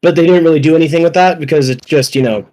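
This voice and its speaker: A man with a slightly high voice in a casual podcast chat. He talks like an enthusiastic fan, rambling and circling back over his own phrases, with loose swearing. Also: very fast, darkish tone. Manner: rambling, profane, repeats phrases. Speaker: podcast guest or panelist.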